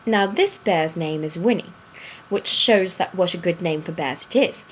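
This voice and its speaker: A woman playing a whimsical children's storybook narrator, reading expressively in an animated way. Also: lowish voice, normal speed, slight background noise, lightly acted.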